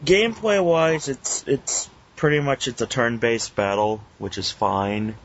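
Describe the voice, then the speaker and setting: A man co-hosting a casual podcast with teasing, profane banter and halting, informal review talk. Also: medium pitch. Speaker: podcast host. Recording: muffled lo-fi internet call recording.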